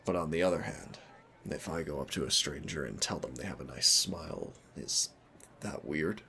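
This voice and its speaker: A man playing a shy, gentle, nervous young man in an intimate audio roleplay, hesitant, rambling and self-deprecating. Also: a bass voice, moderate pace, gravelly, a little hiss or hum, full character voice. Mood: anxious musing.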